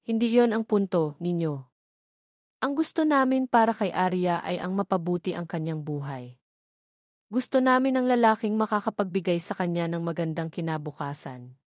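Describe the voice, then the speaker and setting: A woman's voice: lowish voice, lively intonation, normal speed, a little mellow, dry recording, lightly acted. A woman narrator puts on a stern father in an audio drama. He speaks firmly and seriously, never yielding, recorded close and dry. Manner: firm, serious. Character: stern, unyielding father of a grown daughter.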